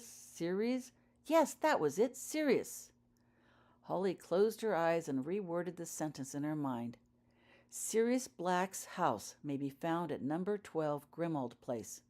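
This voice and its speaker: A woman's voice: low voice, a little mellow. A woman reading her own fiction aloud as audiobook narration, telling the story in character-focused prose.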